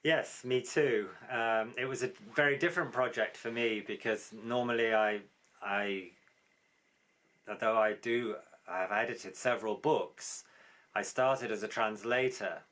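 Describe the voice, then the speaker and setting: A man's voice: lowish voice, fairly even intonation, unhurried, fairly bright tone. A man who works as an editor and translator, talking reflectively and fluently in a conversation about a memoir. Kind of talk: conversational interview.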